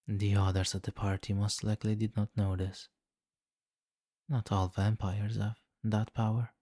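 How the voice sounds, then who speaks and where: A man voicing a seductive, menacing vampire king in an intimate ASMR fantasy roleplay, slow and commanding, with a condescending, teasing amusement. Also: fairly low pitch, warm tone, no room sound, studio quality, close to an everyday voice. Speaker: roleplay voice performer.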